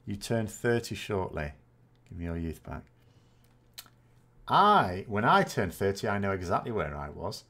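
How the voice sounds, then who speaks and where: A man's voice: on the low side, very animated, unhurried, pauses often, pushed and bright. A man, chatting casually and rambling as a gaming host answering viewer questions, often correcting himself with dry self-mockery. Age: 40s.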